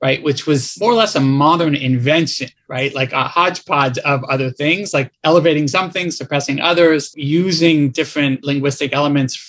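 A man's voice: a little high, moderate pace, few pauses, fairly bright tone. A man hosting an interview, enthusiastic and musing aloud, who keeps checking in with "right?". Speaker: podcast host, interviewer. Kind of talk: conversational interview.

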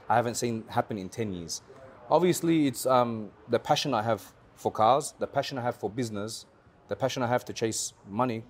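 A man, a car rental business owner, telling his story as a guest in a casual interview, animated and repetitive, with slang. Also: medium pitch, slight background noise. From Australia.

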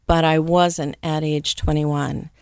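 Low-voiced woman, thoughtful interview guest. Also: level tone, clean tone, no echo, clean studio sound. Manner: soft-spoken, thoughtful, with frequent pauses. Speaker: interview guest, travel business owner. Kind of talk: conversational interview.

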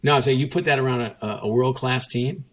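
A man's voice: mid-range voice, quick pace. A man, a former chief executive, telling a story in a casual interview, emphatic and given to repeating his points and quoting others. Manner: emphatic, repetitive, quotes himself and others. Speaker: former CEO, guest.

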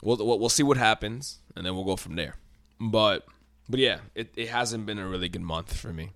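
Young man, podcast co-host, bright, gravelly, lively pitch, dry close sound. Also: normal speed, no room sound. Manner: animated, stumbling restarts, joking. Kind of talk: casual chat.

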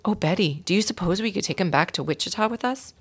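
A woman voicing a young female schoolteacher, softhearted but doubtful, in a storybook reading. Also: medium pitch, expressive, quick pace, breathy edge. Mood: hopeful.

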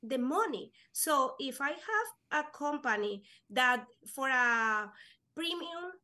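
A young woman whose first language is Spanish talks in slow, halting English with many fillers. Her voice sits high and sounds bright and forward. She comes across earnest as an interview guest. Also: expressive. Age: young adult. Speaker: guest, life insurance agent. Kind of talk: casual interview chat.

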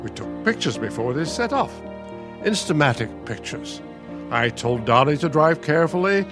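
An actor playing a patient, nostalgic older husband in a radio play, gentle and reflective. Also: male voice, a little high, sing-song, moderate pace, loud music behind the voice. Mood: wistful. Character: patient, nostalgic elderly husband, soothing and wistful.

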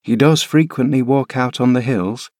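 A man's voice: mid-range voice, moderate pace, darkish tone, no room sound, studio quality, voice pushed up, lightly acted. Man doing a coaxing kindly housekeeper. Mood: reassuring. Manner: coaxing, reassuring. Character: kindly practical female housekeeper.

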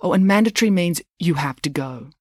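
A woman's voice: fairly low pitch, lively intonation, brisk, a little mellow, dry recording, clean studio sound. Woman voicing a bumbling, officious male school official. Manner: flustered, announcing. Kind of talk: children's storybook character voice.

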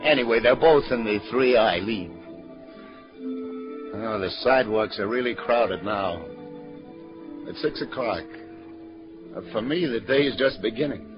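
An actor playing a world-weary, sardonic police detective in 1940s radio noir style, with slangy hardboiled talk. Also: male voice, medium pitch, close to an everyday voice. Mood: brooding, lonesome. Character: world-weary, wry New York police detective lieutenant, hardboiled narrator. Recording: muffled 1940s radio broadcast, music behind.

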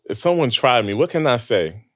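A man's voice: medium pitch, moderate pace, dry recording, clean studio sound. A man in his mid-to-late twenties from Queens, co-hosting a podcast in a playful, jokey way. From Queens, New York. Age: 25 or older. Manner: jokey, animated, tries out a mock Jamaican patois. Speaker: podcast co-host. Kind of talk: playful casual chat.

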